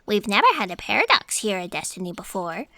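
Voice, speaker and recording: A woman playing a perky, easily offended office bureaucrat in a comic audio drama, chipper and expressive. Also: mid-range voice, fairly quick, no room sound. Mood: curious.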